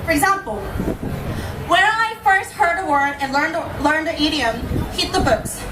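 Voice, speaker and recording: Female public speaker, native Korean with Korean accent, high pitch, casual storytelling delivery, roomy distant recording with clear noise. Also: lively intonation, moderate pace. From South Korea. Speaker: public speaker, language teacher. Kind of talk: informal live talk to an audience. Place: indoor venue in front of an audience. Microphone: camera at a distance. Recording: echoey room, distant camera mic, noisy.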